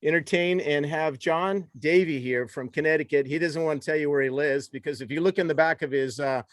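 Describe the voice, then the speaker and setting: A man's voice: a little high, fairly quick, no echo. A man hosting a virtual conference, rambling a little and using many fillers as he introduces speakers. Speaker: conference host. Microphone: video call.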